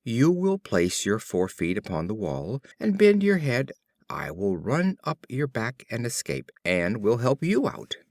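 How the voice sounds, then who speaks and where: A man's voice: medium pitch, wildly swooping pitch, a little slow, a little mellow, no room sound, clean studio sound, full character voice. A man voicing a sly, mocking fox who talks glibly and emphatically in a storybook fable. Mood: coaxing. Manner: glib, lecturing, emphatic. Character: sly, mocking male fox, a smug schemer.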